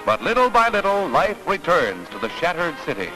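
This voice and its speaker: A high-voiced American man announces in the grand manner of a 1940s newsreel. His delivery is scripted, declamatory and dramatic, with prominent music running behind him on an old film soundtrack. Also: huge pitch swings, fairly quick, poor audio. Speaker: newsreel narrator. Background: orchestral newsreel music.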